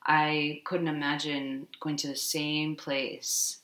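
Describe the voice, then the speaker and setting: A woman hosting a podcast, introducing the show and reacting to her guest with quick interjections. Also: sits low, flat delivery, fairly bright tone, echoey room. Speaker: podcast host. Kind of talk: casual interview chat.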